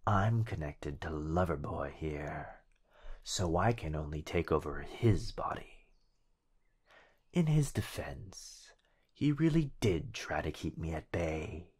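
A man voicing a possessive, teasing living shadow in an ASMR roleplay, breathy and whispery, drawing out his menacingly sweet words. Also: extremely low pitch, animated, a little mellow, exaggerated voice. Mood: teasing, sinister. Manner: drawn-out, menacingly sweet delivery.

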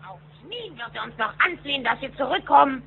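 Very high-pitched cheeky kid. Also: female voice, lively intonation, a little fast, creaky, soft background music. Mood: urgent, curious. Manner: eager, defiant. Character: plucky cheeky young nephew, a duck child. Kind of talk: cartoon audio drama acting. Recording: tinny narrow-band old cassette.

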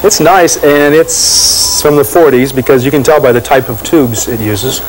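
A man's voice: medium pitch, moderate pace, poor audio. A man chatting casually as a customer in a shop. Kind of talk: casual chat. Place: dim cluttered shop. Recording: faint shop noise.

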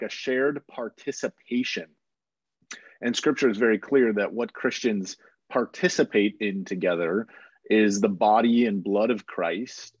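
A man's voice: fairly low pitch, slightly rough, no echo. A man talking thoughtfully and explaining ideas as a panelist on a video call. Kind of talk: roundtable discussion. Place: home room with bookshelves. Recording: dry, muffled video call.

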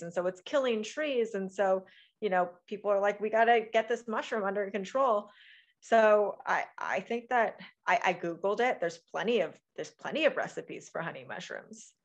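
A woman in her thirties from Oregon, a guest author chatting casually on a video call, often restarting her sentences. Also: mid-range voice. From Oregon, USA. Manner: chatty, many restarts and fillers. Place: home room with bookshelves. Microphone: video call webcam.

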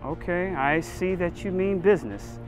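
Male actor as shrewd middle-aged police captain, slightly high pitch, measured, skeptical, calm, short-film drama, faint music. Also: close to an everyday voice, poor audio. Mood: cautious. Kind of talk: scripted short-film acting. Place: outdoors in a parking lot.

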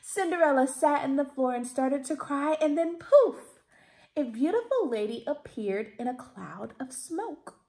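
A woman in her thirties reads a children's picture book aloud expressively, with deliberate, theatrical emphasis. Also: sits high, expressive, darkish tone. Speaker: library storytime reader. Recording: roomy indoor echo.

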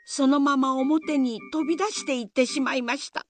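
A woman's voice: high voice, fairly even intonation, moderate pace, soft background music. A woman narrator telling a scripted folk tale in a storytelling style.

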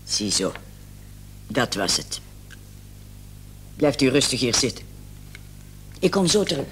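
A man playing a brisk, condescending emergency doctor on night duty in a vintage radio play. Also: medium pitch, big pitch swings. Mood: clinical, matter-of-fact. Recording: old radio recording with clear hiss.

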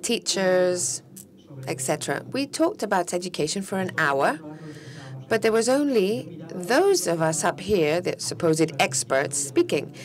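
A woman giving live interpretation of a panel discussion, steady but slightly halting, with restarts as she keeps up with each speaker. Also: on the low side, a crowd in the background.

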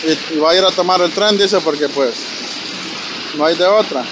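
A man's voice: fairly high pitch, normal speed. Chatty man vlogging on a snowy street. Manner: chatty, addresses viewers directly, frequent "¿verdad?". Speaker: vlogger walking and filming. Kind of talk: casual walking vlog narration. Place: snowy city street outdoors. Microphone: handheld phone camera. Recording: outdoor street noise, passing traffic.

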